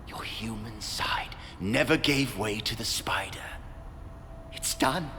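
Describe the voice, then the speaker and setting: An actor playing a remorseful, obsessive middle-aged scientist in a video game, speaking in a halting, hushed, intense way. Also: male voice, fairly low pitch, exaggerated voice. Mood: intense, reassuring. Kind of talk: dramatic video game voice acting. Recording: long reverb, music behind.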